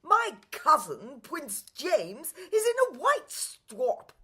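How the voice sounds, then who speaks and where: A woman's voice: sits high, wildly swooping pitch, slow, sharp tone, pitched above the performer's own voice, heavily acted. Woman playing a swooping, bumptious posh young prince. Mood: boastful, excited. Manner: pompous upper-class exclamations.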